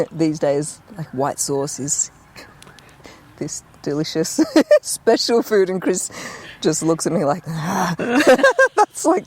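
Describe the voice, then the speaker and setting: An Australian woman, a guest who grew up speaking Cantonese first, talking casually in a hesitant, rambling way with frequent laughs. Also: sits low. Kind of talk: casual conversation.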